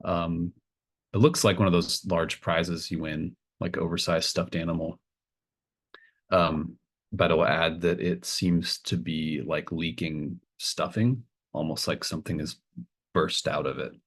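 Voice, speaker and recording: A man running a tabletop game as game master, improvising narration in a halting way, thinking aloud mid-sentence. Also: sits low, steady tone.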